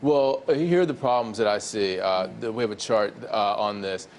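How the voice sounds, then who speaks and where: A man's voice: on the low side, moderate pace, a little hiss or hum. A man giving economic analysis as a regular TV guest, hesitant with lots of fillers, walking through figures step by step. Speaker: economics commentator. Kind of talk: TV interview analysis.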